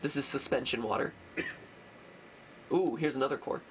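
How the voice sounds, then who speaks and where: A young man hosting a casual game livestream, goofy and excitable, breaking into shouts and playful sing-song bits. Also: mid-range voice, moderate pace, background noise. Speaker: host. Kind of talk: casual let's-play chat.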